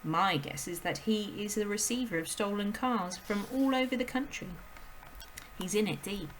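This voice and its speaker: A woman voicing a kind young female teacher in a storybook read aloud, speaking calmly and gently as she explains. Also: medium pitch, moderate pace, slight background noise, close to an everyday voice, rough recording. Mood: calm, gentle.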